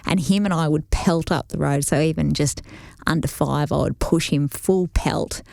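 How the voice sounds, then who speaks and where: A woman's voice: low voice, fairly even intonation, normal speed, creaky, darkish tone, no echo, clean studio sound. A woman co-hosting a podcast, telling a rambling family story in casual chat. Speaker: podcast co-host.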